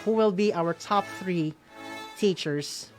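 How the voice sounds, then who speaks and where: A woman's voice: on the low side, takes it slowly. Filipino woman announcing awards over music. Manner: mixes English with Visayan, frequent "no?" tags. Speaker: co-host of an online awards ceremony. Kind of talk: announcing award winners, semi-scripted.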